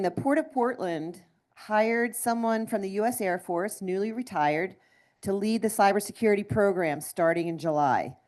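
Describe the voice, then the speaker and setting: A woman moderating a conference session, briskly reading out audience questions. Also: medium pitch, a little mellow.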